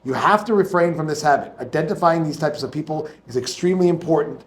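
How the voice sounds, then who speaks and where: A man's voice: on the high side, quick pace. A man moderating a book launch Q&A, reading a passage aloud and repeating words as he starts a sentence. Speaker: event moderator.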